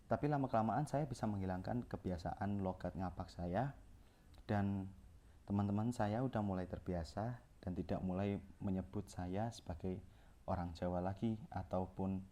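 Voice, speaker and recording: A young man with a low, mellow voice reads through a course presentation. He keeps tripping over words and restarting them as he goes. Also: normal speed. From Central Java, Indonesia. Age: young adult. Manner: reads from notes with frequent self-corrections and restarts. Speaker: communication student presenting.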